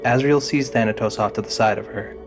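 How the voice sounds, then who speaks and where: A man's voice: mid-range voice, brisk. A man narrating a scripted fantasy audio drama in the role of storyteller. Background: music under the narration.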